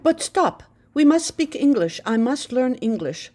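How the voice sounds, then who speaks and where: A woman's voice: high voice, very animated, a little slow, mellow, voice pushed up, full character voice. A woman voicing an eager, chatty teenage girl in a spoken memoir. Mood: excited.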